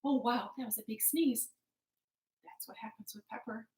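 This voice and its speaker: A woman hosting a storytime for young children, talking in an animated, encouraging, playfully exaggerated way, recorded in a living room. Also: fairly high pitch, moderate pace. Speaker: children's storytime host at a public library. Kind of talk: children's storytime, rhymes and counting. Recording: roomy, echoing home recording.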